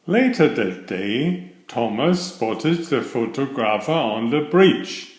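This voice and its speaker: A male narrator reads a children's story expressively. His pitch moves up and down a lot while his pace stays slow and deliberate. The recording has a noticeable room echo. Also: big pitch swings. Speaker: children's book reader. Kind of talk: storybook read-aloud narration. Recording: roomy, echoing voice recording.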